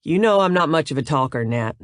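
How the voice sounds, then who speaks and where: Reserved businessman, plain and quiet, dry close sound, voiced by a man. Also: fairly high pitch, animated, fairly quick, dry recording, close to an everyday voice.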